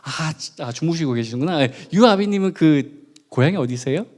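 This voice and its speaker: A man gives a sermon in a church. His voice sits a little high and sounds soft and mellow, with pitch that swings up and down. He keeps it chatty, jokes with the congregation and asks them questions. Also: animated, a little fast. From Gunwi, North Gyeongsang, South Korea. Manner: playful, chatty, jokes and quizzes the audience. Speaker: pastor. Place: church during a midweek service.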